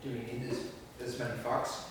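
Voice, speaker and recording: A man's voice: medium pitch, monotone, fairly quick. A man in casual discussion at a script table read, recorded indoors from a distance. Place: indoor room, mic at a distance. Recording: distant, very echoey room, faint noise.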